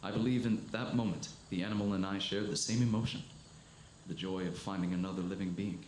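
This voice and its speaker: A man acting a solemn, haunted professor who survived an invasion, narrating gravely and deliberately in a 1930s-style radio drama. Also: deep voice, steady tone, darkish tone, close to an everyday voice. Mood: searching, wondering. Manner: grave, deliberate, literary storytelling. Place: live performance before an audience in a hall. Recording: echoey live stage, faint noise.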